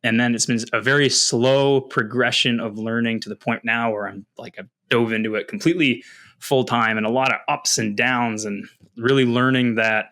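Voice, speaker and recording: A man's voice: medium pitch, steady tone, normal speed, sharp tone. A Canadian man from Ontario talking casually and with animation about himself as a guest, in a self-deprecating way. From London, Ontario, Canada. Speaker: podcast guest, wildlife photographer and content creator. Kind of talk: casual personal storytelling.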